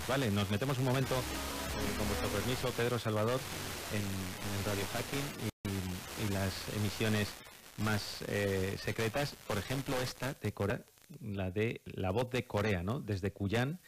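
A man's voice: fairly low pitch, measured pace, very noisy. A man hosting a conversational radio show. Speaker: radio host. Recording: FM radio reception with music behind. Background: music and played radio clips.

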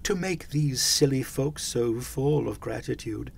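A man voicing a solemn ghost that speaks slowly and softly. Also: medium pitch, vocal fry, slightly rough. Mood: musing. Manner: slow and soft-spoken. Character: solemn ghost, quiet and probing.

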